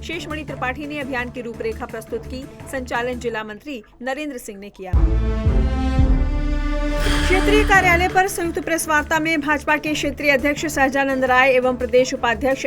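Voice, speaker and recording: A woman reads a scripted radio news bulletin in a distinctly high voice. Her delivery is formal and measured, and music plays clearly underneath her. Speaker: news reader.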